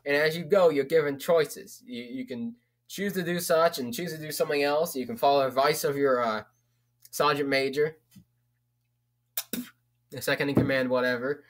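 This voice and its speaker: A man's voice: on the high side, fairly bright tone. Rambling young guy. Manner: rambling, casual, swears freely, frequent fillers. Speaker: vlogger. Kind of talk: casual book recommendation.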